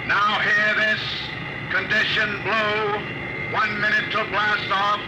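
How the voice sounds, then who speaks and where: A man's voice: sits high, completely flat delivery, slow. An actor in a 1950s radio drama playing an urgent spaceship crewman with clipped, announcing delivery. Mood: official. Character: urgent spaceship crewman relaying alerts and orders. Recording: extremely noisy 1950 radio broadcast.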